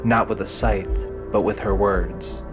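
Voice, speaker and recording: A man's voice: lowish voice, fairly even intonation, a little slow, over music. A man reading dramatic scripted narration for an audio drama.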